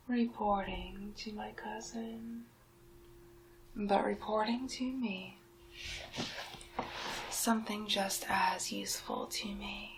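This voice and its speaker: A woman playing a sly, menacing town witch in a soft-spoken, whispered ASMR roleplay, murmuring deliberately. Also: a little hiss or hum, rough recording. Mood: menacing, coaxing. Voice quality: soft, breathy whispering mixed with low-volume speech.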